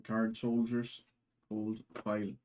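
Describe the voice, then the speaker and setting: A man's voice: lowish voice, completely flat delivery, slow, darkish tone, slight background noise. A man hosting a live card break in a put-on Irish accent, rattling off card names from each pack.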